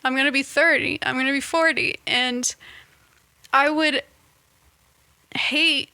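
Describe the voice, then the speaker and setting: Young woman, 20s, vlogger; unhurried, stop-start delivery, dry close sound. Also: high voice, fairly even intonation, sharp tone, no echo. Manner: halting, searching for words with many restarts. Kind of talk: casual reflective monologue.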